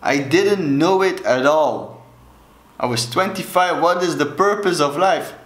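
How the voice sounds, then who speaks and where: Slow-talking Belgian man, Dutch accent. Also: a little high, fairly bright tone. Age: 30s. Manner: slow, deliberate, gestures with both hands. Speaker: alcohol coach. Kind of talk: earnest talk to camera. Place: small room indoors, plain wall. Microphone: camera mic, head and shoulders. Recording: echoey room.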